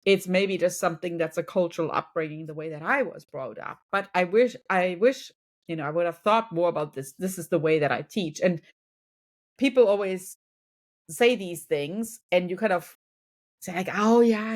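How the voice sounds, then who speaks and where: A woman's voice: on the low side, moderate pace. German-accented woman coach in conversation. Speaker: business and wellness coach, podcast guest. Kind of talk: conversational interview, motivational advice.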